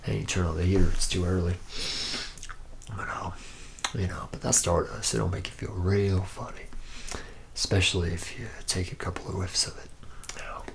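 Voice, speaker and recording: A man's voice: level tone, normal speed. A man playing a jaded, boozy factory worker in an ASMR roleplay, rambling in a hesitant, sardonic drawl that often drops toward a whisper. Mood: laid-back, sly. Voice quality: gravelly, low, partly whispered.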